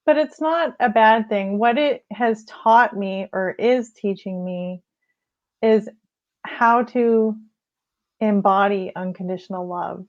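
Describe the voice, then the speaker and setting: Soft-spoken low-energy woman host. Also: takes it slowly. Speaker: life coach host. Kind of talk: casual interview chat. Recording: slightly dull lo-fi audio.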